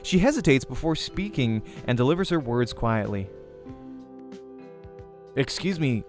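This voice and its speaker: A man reading a visual novel aloud as a let's play host, in an expressive storytelling manner. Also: medium pitch, brisk, over music. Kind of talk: narrating a visual novel aloud, acting dialogue.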